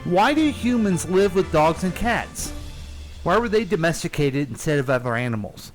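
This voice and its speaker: A man's voice: fairly high pitch, very animated, moderate pace, soft background music. A man hosting a casual show, bantering and asking questions in a playful, teasing way. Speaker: radio show host.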